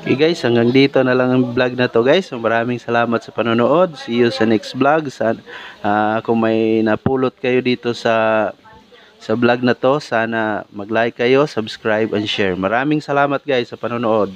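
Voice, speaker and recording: A man's voice: mid-range voice, normal speed. A Filipino man giving a casual, informal hands-on demonstration as a DIY vlogger, often addressing his viewers. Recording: dull lo-fi audio with background music.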